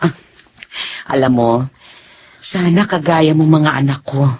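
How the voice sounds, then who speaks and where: An actress playing a kindly, encouraging middle-aged Filipino college dean in an expressive radio drama style. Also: female voice, low-pitched, measured pace, a little hiss or hum. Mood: admiring. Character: kindly, admiring female college dean and mother.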